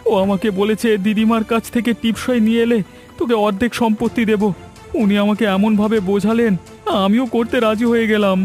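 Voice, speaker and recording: A man's voice: high-pitched, little pitch movement, moderate pace, darkish tone, lightly acted. A man voicing a cowardly, apologetic young village man in a cartoon, pleading and stammering. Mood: confessing. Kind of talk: cartoon voice acting. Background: background music.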